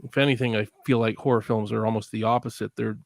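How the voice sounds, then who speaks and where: A man from Canada talks as a podcast guest, relaxed and quick to laugh. His voice is gravelly, with fry at the ends of phrases. The sound is dry and close, with no room in it. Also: lowish voice, no room sound. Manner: relaxed, laughs often, swears freely. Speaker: guest filmmaker. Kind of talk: casual podcast chat.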